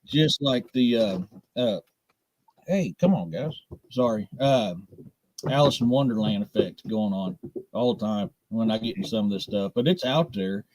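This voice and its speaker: A man's voice: on the low side, unhurried, darkish tone, no echo, rough recording. An American man in middle age chatting casually on a podcast, with a blunt, direct, folksy manner. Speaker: podcast co-host. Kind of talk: casual live podcast chat.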